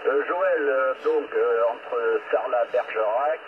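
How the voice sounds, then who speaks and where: A man's voice: mid-range voice, slow. Man on a tinny, noisy radio link. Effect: heard over two-way radio. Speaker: CB radio operator. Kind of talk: casual CB radio chat. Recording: tinny radio transmission with clear static.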